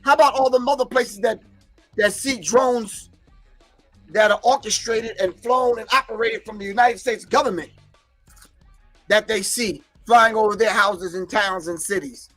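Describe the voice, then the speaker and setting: A man's voice: high-pitched, moderate pace, fairly bright tone, faint music behind. A man whose first language is not English, hosting a livestream and preaching his points emphatically while answering chat comments. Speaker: host.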